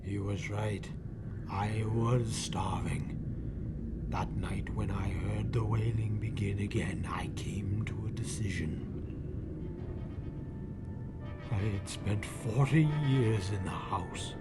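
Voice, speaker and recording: An actor playing a guilt-ridden, tormented old man in a melodramatic radio horror drama, moving between hushed tones and outcries. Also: lowish voice, takes it slowly. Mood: haunted. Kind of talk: 1940s radio horror acting, dramatic narration. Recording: old-time radio drama under loud music.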